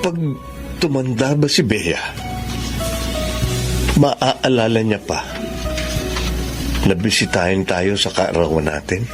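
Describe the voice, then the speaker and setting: A man playing a pensive, tender-hearted middle-aged Filipino father, speaking in a measured, earnest melodrama style. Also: lowish voice. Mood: troubled, pensive. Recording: music playing behind the voice.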